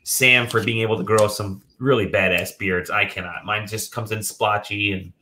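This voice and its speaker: A man hosting a casual video game podcast from home, sick and a bit nasal, joking and clearing his throat often. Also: fairly low pitch, steady tone, normal speed, sharp tone. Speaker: podcast host. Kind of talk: casual chat. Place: home room.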